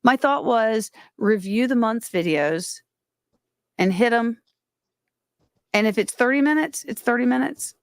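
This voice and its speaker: A woman's voice: a little high, lively intonation, measured pace, long pauses, no echo, clean studio sound. A woman hosting a casual livestream, talking in a rambling, self-interrupting way. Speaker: livestream host.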